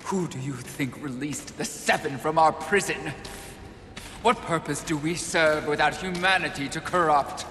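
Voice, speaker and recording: A performer playing a haughty, persuasive sin demon in a video game, speaking in a measured, grandiose way. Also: female voice, low voice, a bit bright. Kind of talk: video game villain acting. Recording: echoey hall, faint noise behind.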